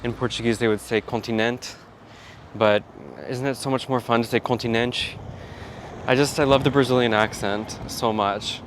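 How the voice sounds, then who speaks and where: A man's voice: mid-range voice, fairly even intonation, normal speed, slight background noise. A man chatting casually and rambling a bit as he vlogs his day. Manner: chatty, rambling, self-talking. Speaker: vlogger. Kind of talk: casual vlog chat.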